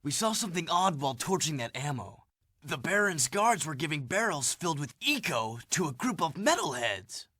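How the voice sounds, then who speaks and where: A man playing a brooding, defiant young rebel hero with a husky, terse delivery in a video game. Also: a little high, sing-song, normal speed, pushed and bright, dry recording, clean studio sound, full character voice. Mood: serious. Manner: terse, surly. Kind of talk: video game cutscene acting.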